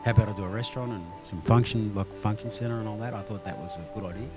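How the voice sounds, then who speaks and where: A man's voice: lowish voice, very fast, over music. A man who owns the restaurant, talking in a hesitant, plain-spoken way. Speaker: restaurant owner. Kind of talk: casual interview. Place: restaurant function room with music playing.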